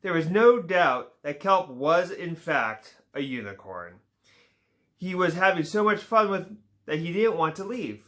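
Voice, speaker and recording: A man's voice: a little high, measured pace. Man reading a picture book aloud. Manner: relaxed reading with small slips and playful asides. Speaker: storyteller reading a children's book. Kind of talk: read-aloud children's story.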